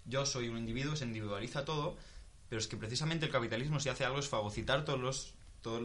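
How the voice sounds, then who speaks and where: A man's voice: mid-range voice, fast, fairly bright tone. A young man from Spain in a casual group discussion, thinking aloud with many restarts. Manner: thinking aloud, restarts, swears when stuck.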